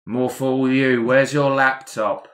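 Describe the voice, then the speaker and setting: Dodgy male businessman, played by a man: curt, cagey, wry, mid-pitched, in an echoey room. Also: little pitch movement, slow, a bit bright. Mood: confrontational. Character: shady, infamous businessman, curt and evasive. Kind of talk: improvised role-play dialogue. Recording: echoey room, faint noise.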